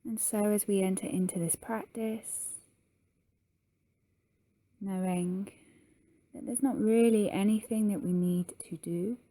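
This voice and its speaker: Woman doing a guided meditation, really slow n mellow, soft soothing voice. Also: medium pitch, fairly even intonation, dry recording. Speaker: yoga nidra guide.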